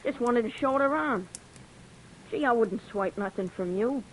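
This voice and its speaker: An actor playing a defensive young street-gang thief who talks in street slang, in an old radio drama. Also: male voice, very high-pitched, normal speed, rough recording. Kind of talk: 1950s radio drama acting. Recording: old radio recording with faint hiss.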